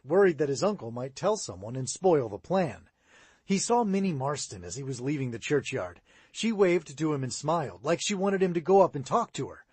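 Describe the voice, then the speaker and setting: Lively-voiced man reading a children's book. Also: fairly high pitch, breathy edge, no room sound. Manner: expressive read-aloud, rising and falling. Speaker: audiobook reader. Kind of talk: children's audiobook narration.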